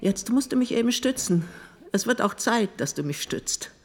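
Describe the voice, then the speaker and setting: A woman's voice: fairly high pitch, animated, normal speed, slightly rough. Prickly, frail old mother. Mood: brusque. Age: older. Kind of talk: radio play dialogue.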